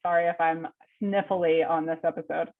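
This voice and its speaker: A woman hosting an interview with a cold, sounding sniffly. She asks measured questions and gives brief affirmations. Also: low voice, flat delivery. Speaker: host. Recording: tinny remote call audio.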